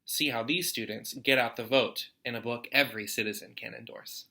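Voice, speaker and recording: A man's voice: mid-range voice, fairly even intonation, brisk, gravelly, pushed and bright. An American man hosting a storytime, reading a children's picture book aloud expressively to students.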